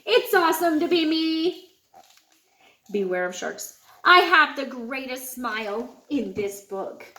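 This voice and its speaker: A woman's voice: high-pitched, expressive, slow pace, bright, forceful tone, slight background noise, heavily acted, poor audio. A woman voicing a boastful, cheerful, playful shark from a children's storybook. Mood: gleeful bragging.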